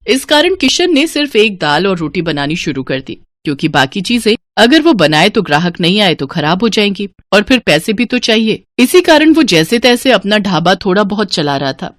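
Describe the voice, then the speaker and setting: Female storyteller for scripted moral tales; medium pitch, highly varied intonation. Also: very animated, normal speed, hardly any pauses, dry recording, studio quality.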